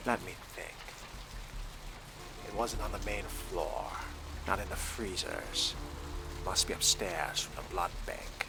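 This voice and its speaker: A man voicing a composed, haughty young vampire in a role-playing game, speaking formally and deliberately with wry theatricality. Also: sits low, background noise. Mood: disdainful. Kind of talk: in-character gameplay narration.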